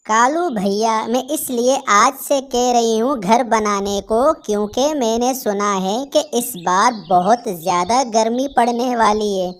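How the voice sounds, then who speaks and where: High-voiced sensible little cartoon bird played by a woman. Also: measured pace, hardly any pauses, natural voice. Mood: earnest, advising.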